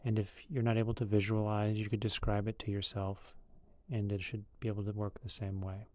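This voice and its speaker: A man leading a guided meditation in a calm, unhurried, loosely conversational way. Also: lowish voice.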